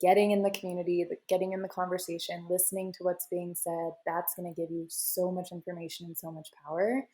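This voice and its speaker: Flat, low-voiced woman giving advice. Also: quick pace, hardly any pauses, low-quality recording. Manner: confident advice-giving, many "you know" fillers. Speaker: guest, crypto media founder. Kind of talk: casual interview answers.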